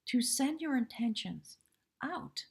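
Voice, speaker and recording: A woman with a hoarse voice giving a live spiritual tarot reading in measured, reverent short phrases. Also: on the high side, lively intonation. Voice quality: husky, breathy. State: hoarse from much talking. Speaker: tarot reader, live stream host.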